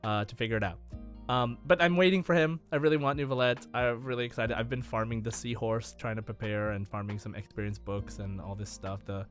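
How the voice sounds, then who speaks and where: A man's voice: medium pitch, steady tone, a little fast. A man co-hosting a gaming podcast, chatting casually in a rambling way with music behind him. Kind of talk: casual chat.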